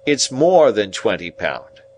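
A man playing a simple-hearted, kindly working man who speaks in a halting, deliberate, rustic way in an audiobook. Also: fairly low pitch, sing-song, exaggerated voice. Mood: quietly delighted. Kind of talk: audiobook character voice.

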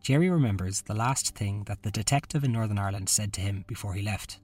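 A man's voice: lowish voice, fast, darkish tone, husky, soft background music. A man hosting a podcast, reading a scripted true-crime narration. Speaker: podcast host.

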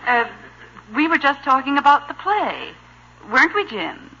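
An American woman playing a gentle, proper, protective mother in a 1950s radio sitcom, polite and gently scolding. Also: high-pitched, big pitch swings, normal speed, lo-fi sound. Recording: noisy, band-limited old radio recording.

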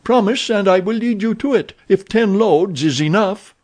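A man's voice: sits high, moderate pace, darkish tone, voice pushed up, lightly acted. A man voicing a desperate Belgian captive who urgently pleads for his freedom, his voice raised. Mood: pleading.

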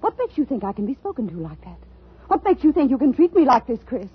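An actress playing an unstable, haughty woman writer bent on revenge in a 1950s radio thriller, intense and halting, quick to flare. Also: high-pitched, big pitch swings, husky, full character voice. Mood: indignant, menacing. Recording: tinny old radio broadcast, music behind.

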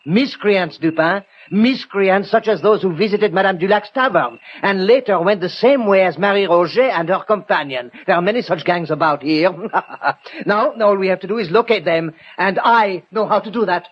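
Excitable French police prefect, self-satisfied and pompous, high voice, muffled noisy old radio drama recording. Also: male voice, moderate pace. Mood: self-assured. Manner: pompous, triumphant, exclamatory. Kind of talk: 1960 radio mystery acting.

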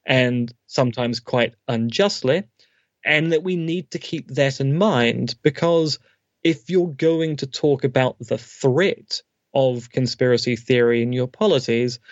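A man's voice: mid-range voice, measured pace, no echo, dull audio. A man, a philosophy academic, explaining his views at length in an interview with dry humour. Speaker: guest academic, philosophy professor.

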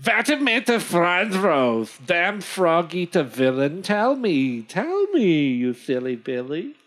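A woman voicing an obsessed, blustering male hunter in a storytelling session, with theatrical drawn-out exclamations. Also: on the high side, animated, pushed and bright, full character voice. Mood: indignant.

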